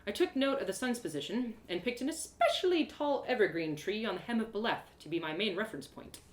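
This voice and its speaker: A woman narrating a first-person adventure story in an expressive, theatrical way with dramatic pauses. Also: medium pitch, roomy sound. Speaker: storyteller performing own one-woman audio drama.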